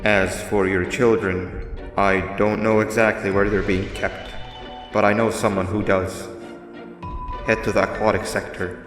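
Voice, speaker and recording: A deep, echoing game monster. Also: male voice, little pitch movement, a bit bright. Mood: calm, instructive. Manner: measured, formal, explanatory. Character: deep-voiced monster mascot, calm, grave, instructive schemer. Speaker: game character giving instructions. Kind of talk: scripted video game dialogue. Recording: roomy reverb with music underneath.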